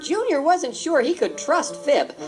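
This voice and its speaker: A woman narrating a children's storybook in an expressive storytelling voice. Her pitch sits a little high and moves a lot from line to line. Music plays clearly behind her. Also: unhurried. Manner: expressive storytelling, voicing every character. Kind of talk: scripted read-along narration. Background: background music.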